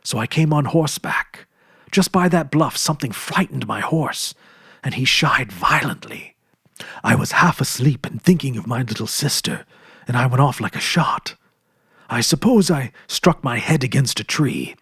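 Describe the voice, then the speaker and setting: Man reading as hearty prospector brother, husky, lively lilt; storybook. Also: mid-range voice, moderate pace, dry recording, heavily acted. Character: adult brother, warm hearty prospector back home.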